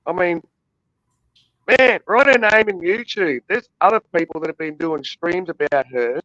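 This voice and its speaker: A man's voice: sits high, normal speed, bright, forceful tone, no room sound, lo-fi sound. A man in heated casual chat, indignant and complaining at length, swearing often.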